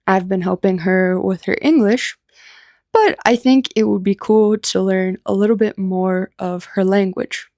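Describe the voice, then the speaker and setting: An American woman teaching English through simple personal stories, speaking in a deliberate, unscripted way with clear phrasing. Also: mid-range voice, a little mellow, no echo, clean studio sound. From United States. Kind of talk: slow, simple personal storytelling for language learners.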